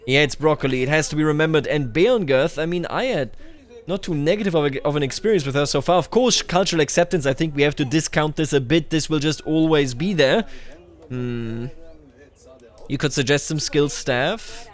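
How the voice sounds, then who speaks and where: Higher-voiced man commentating a strategy game. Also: a little fast. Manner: thinks aloud, addresses game characters directly. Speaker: gaming video host.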